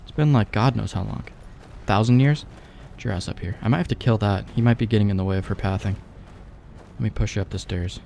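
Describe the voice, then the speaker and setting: A man's voice: on the low side, fast, creaky, darkish tone, slight background noise. A young man giving rambling, laid-back, sweary let's play commentary as he games. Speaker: gaming YouTuber, let's play host.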